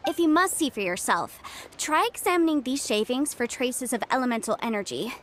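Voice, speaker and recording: An actress playing a confident young legal advisor in a game, brisk, self-assured and a little playful. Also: female voice, sits high, lively intonation, a little hiss or hum. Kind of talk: game voice acting. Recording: studio voice over game music.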